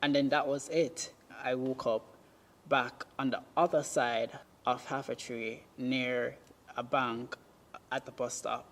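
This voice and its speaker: A person with a Jamaican accent giving a personal testimony in halting, deliberate short phrases. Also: medium pitch, fairly even intonation, a bit bright. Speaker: documentary subject telling own story. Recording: music playing under the voice. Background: music.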